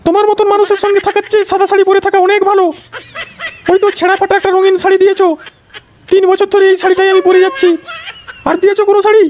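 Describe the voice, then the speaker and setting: Man as a shrill, rapid-fire scolding old grandmother. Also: monotone, a little mellow, slight background noise. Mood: bitter. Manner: nagging, defiant. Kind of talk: Bengali comedy cartoon dialogue.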